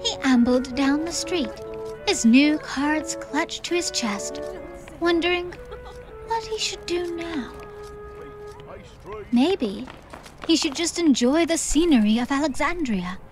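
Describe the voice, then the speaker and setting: High-voiced woman narrating a story. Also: animated, slow pace, pauses often, over music. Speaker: audiobook narrator.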